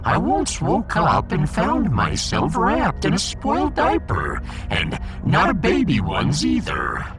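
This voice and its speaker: Slow, swooping, melodramatic fish emperor. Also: male voice, fairly high pitch, huge pitch swings, music in the background, heavily acted. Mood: aggrieved, melodramatic. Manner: theatrical, long-winded, mournful, imperious. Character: pompous, melodramatic, gloomy little male alien fish emperor. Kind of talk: sci-fi audiodrama character acting.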